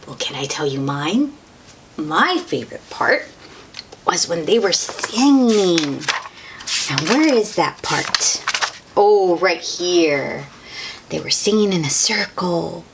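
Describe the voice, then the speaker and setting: A woman reading a picture book aloud to young children in an expressive, sing-song way, with chatty asides to the listeners. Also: fairly low pitch, a little hiss or hum. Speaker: children's read-aloud host.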